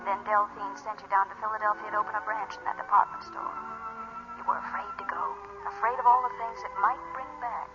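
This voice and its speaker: A woman's voice: on the high side, wildly swooping pitch, a little fast. An actress playing an insistent inner voice that recounts a woman's past, pointed and urging, in 1940s radio style. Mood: knowing, sardonic. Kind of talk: 1940s radio drama narration in second person. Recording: lo-fi vintage radio, faint music.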